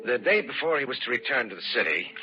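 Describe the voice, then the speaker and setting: An actor playing a steady, concerned man who narrates his eerie adventure in an old-time radio drama. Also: mid-range voice, fast, over music, lightly acted. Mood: somber narrating. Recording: dull, noisy old radio recording.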